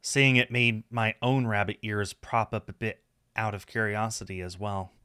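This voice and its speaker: A man's voice: on the low side, steady tone, normal speed, sharp tone, clean tone, no echo, studio quality. A man voicing a shy, curious rabbit-eared student who narrates a visual novel in a hesitant, wry way.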